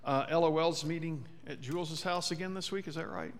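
A man with a fairly high voice reads out the church announcements, casual and unhurried, stopping for fillers and quick asides to the congregation. The long reverb of a church sanctuary hangs on every phrase. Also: steady tone.

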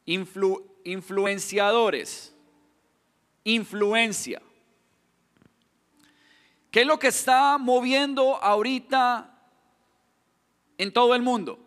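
A man's voice: high-pitched, a bit bright, in a large hall. A man in his thirties with a Colombian accent, preaching a sermon earnestly, often restarting words and building to emphatic questions.

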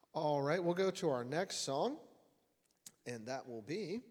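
A man's voice: fairly high pitch, animated, slow pace, a little mellow, husky. A man leading a church service in prayer and announcements, earnest and plain-spoken with frequent uhs. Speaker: lay worship leader. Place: church sanctuary. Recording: long church-hall reverb with faint music.

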